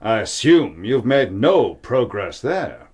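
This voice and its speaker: An actor playing a haughty, irascible upper-class country landowner, pompous and blustering, in a naturalistic radio drama. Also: male voice, medium pitch, sing-song, slow pace, darkish tone, slightly rough, exaggerated voice. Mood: irate. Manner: pompous, blustering, hesitant when cornered.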